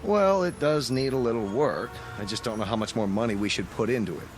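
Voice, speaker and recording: An American actor playing a practical, puzzled husband out car shopping, in 1960s television drama style. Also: male voice, mid-range voice, fairly quick, lightly acted. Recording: old TV soundtrack with faint music.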